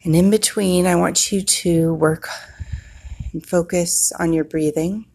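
A woman leading a guided meditation in a soothing manner, with long pauses and a husky, breathy voice. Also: lowish voice, steady tone, darkish tone.

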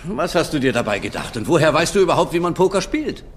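A man's voice: on the high side, sing-song, quick pace. A man playing a stern, sarcastic middle-aged father who lectures and nags in a German audio drama dub. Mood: exasperated.

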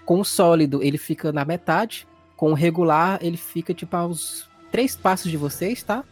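A man's voice: on the high side, moderate pace, faint music behind. A man with a Brazilian accent narrating a tabletop role-playing game as game master, colloquial and explanatory.

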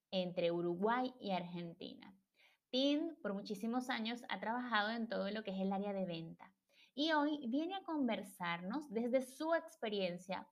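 A woman hosting a podcast, reading a formal introduction and welcoming her guest. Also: on the high side. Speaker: podcast host. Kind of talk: scripted intro then interview hosting.

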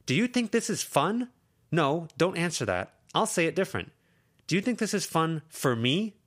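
A man's voice: mid-range voice, sing-song, moderate pace, voice pushed up, lightly acted. A man voicing an anxious, protective teenage girl who lectures her friends intensely in an audiobook. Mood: upset, raised. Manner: intense, lecturing, rising to shouts. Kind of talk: audiobook character reading.

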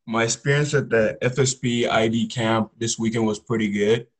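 A teenage young man from Congo, a high school football player, giving casual answers as an interview guest. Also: steady tone, moderate pace, slightly rough. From Congo, later the US Pacific Northwest.

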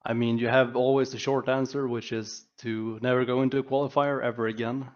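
A man's voice: mid-range voice, level tone, fairly quick, lo-fi sound, very noisy. A man explaining things casually as an esports director on a panel.

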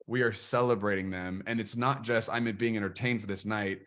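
A man's voice: on the low side, steady tone, fairly quick, fairly bright tone. A man hosting a podcast interview, speaking earnestly and with passion, using preacher-like phrasing. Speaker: podcast host. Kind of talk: casual interview chat.

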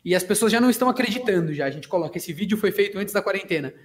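A man from the São countryside, chatting as a podcast guest in a relaxed way, often restarting his words. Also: high voice, rapid-fire. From Socorro, countryside of São Paulo state, Brazil. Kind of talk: casual podcast chat.

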